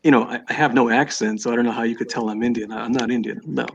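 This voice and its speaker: A man from the United States calling into a live-stream debate, arguing fast and insistently and often repeating himself. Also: mid-range voice, steady tone, breathy edge. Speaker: caller. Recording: muffled lo-fi call audio.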